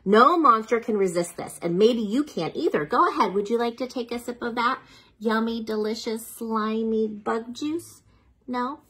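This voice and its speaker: A woman reading picture books aloud to young children, playful and dramatic, pausing for chatty asides and questions to the listener. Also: on the high side, fairly bright tone, husky.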